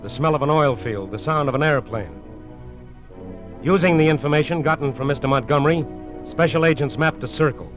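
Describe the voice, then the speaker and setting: A man's voice: mid-range voice, lightly acted. A man narrating a 1940s radio crime drama in a terse, suspense-building style. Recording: muffled vintage radio broadcast with music. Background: dramatic music.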